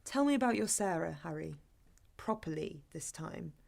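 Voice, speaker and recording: Audio drama role: guarded woman placating a clingy date, slightly low voice with vocal fry, calm firmness. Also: full character voice. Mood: guarded, conciliatory. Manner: measured, firm but gentle. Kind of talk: naturalistic audio drama acting.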